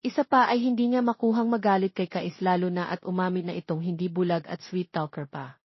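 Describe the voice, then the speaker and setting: A woman's voice: fairly low pitch, steady tone, brisk, slightly rough, dry recording, dull audio. A woman with a Filipino accent reading a scripted romance story as narrator.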